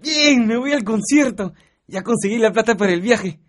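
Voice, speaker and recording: An actor with a Peruvian accent playing a carefree, naive young mototaxi driver in a radio drama. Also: male voice, high voice, animated, normal speed, very noisy. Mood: excited, carefree. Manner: breezy, casual.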